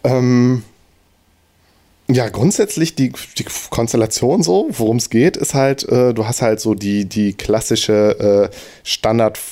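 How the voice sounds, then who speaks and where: A man's voice: mid-range voice, slow, mellow. A man hosting a casual podcast chat, talking in loose, hesitant sentences with many restarts. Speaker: podcast host.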